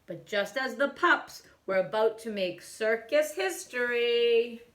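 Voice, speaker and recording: A woman reads a children's story aloud in a fairly high, very lively voice. She takes her time and plays up the drama. The room adds a noticeable echo. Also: slow pace. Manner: theatrical, with hushed asides and exclamations. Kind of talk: animated picture-book read-aloud.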